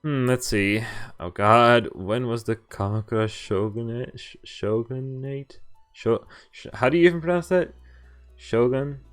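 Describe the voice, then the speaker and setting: A man's voice: mid-range voice, clean tone. A man doing a casual game playthrough, reading text aloud and breaking off for wry, jokey asides. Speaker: game streamer. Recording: music behind the voice. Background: visual novel game music.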